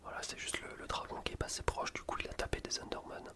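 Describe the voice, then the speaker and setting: A man softly whispering ASMR gameplay commentary in an informal, rambling, self-deprecating way. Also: fast talker. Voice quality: soft unvoiced whisper. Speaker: gaming video creator.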